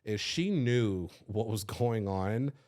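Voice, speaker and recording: A man's voice: fairly low pitch, lively intonation, takes it slowly, a little mellow. A man, telling a candid personal story on a podcast, loose and confessional, swearing freely with lots of "like". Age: 30s. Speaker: podcast host.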